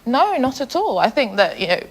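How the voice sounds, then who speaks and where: A woman giving a measured opinion as a panellist, in formal, carefully chosen words. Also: a little high, very animated, slightly rough. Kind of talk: measured discussion.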